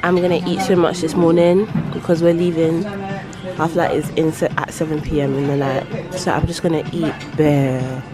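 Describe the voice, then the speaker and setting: A woman's voice: sits low, little pitch movement, normal speed. A woman in her twenties with a London accent, chatting casually and slangily to her vlog camera. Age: 20s. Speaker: vlogger.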